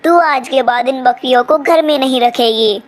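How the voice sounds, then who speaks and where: Cartoon crow mother-in-law a woman acting: high-voiced, haughty, nagging and sharp-tongued. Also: normal speed, lightly acted. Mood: angry.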